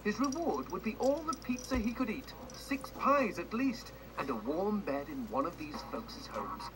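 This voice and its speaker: An actor playing a wry, macabre storyteller who narrates a grim storybook tale in a video game, dramatic and tongue-in-cheek, heard through noisy TV audio. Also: male voice, sits high, big pitch swings, normal speed. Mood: grimly playful.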